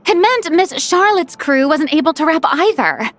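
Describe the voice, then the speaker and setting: A woman voicing a theatrical, generous young film director with a breezy, expressive manner in a video game. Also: extremely high pitch, normal speed. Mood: relieved, friendly.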